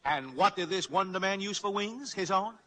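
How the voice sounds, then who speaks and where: A cocky carnival balloon jumper in a 1940s radio play. The voice is bright and fairly high, with swooping, animated delivery and slangy, boastful phrasing. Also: male voice, moderate pace.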